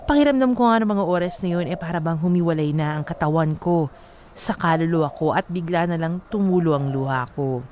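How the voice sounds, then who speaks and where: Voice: medium pitch, fairly quick, darkish tone, slight background noise. Emotional first-person letter narration. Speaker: narrator reading a listener's letter.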